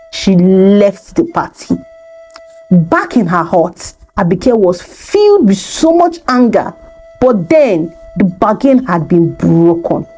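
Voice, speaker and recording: A woman tells a scripted folktale in a mellow voice with very lively pitch. She takes her time over each phrase as a storyteller would. Faint music plays behind her. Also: low-quality recording.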